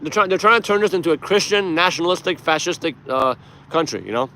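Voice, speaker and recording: Male livestream host from Iran, native Persian speaker, slightly high voice, informal and emphatic, chatting and opining outdoors. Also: animated, fast talker, sharp tone, a little hiss or hum. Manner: emphatic, informal, swears freely. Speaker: live stream host. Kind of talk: casual livestream chat and political commentary.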